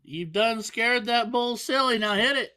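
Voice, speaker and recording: High-voiced joking darts commentator man. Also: measured pace, pushed and bright, clear, resonant tone. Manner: jokey, self-deprecating banter. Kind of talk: live play-by-play commentary.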